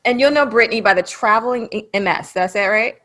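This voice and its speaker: A woman's voice: on the high side, fast, a bit bright. A woman hosting an interview show, asking questions in a casual, conversational style.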